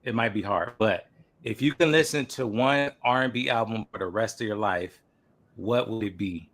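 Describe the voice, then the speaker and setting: A man's voice: fairly low pitch, moderate pace, fairly bright tone. A forty-year-old man hosting a casual podcast interview in a laid-back way, often repeating short affirmations.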